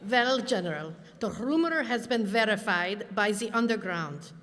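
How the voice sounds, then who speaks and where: A woman plays a pompous onion party chief in an old-time radio comedy. The delivery is stern and declamatory, at middle pitch, reverberating in a hall. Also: expressive, moderate pace, a bit bright, close to an everyday voice. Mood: stern, indignant. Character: pompous, scolding fat onion party boss. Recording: reverberant hall stage recording.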